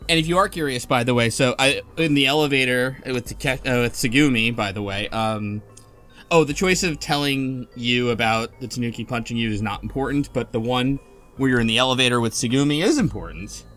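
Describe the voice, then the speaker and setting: A man's voice: medium pitch, a little fast, fairly bright tone, faint music behind. A man hosting a casual group discussion, explaining things in an easily amused, conversational way. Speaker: podcast host.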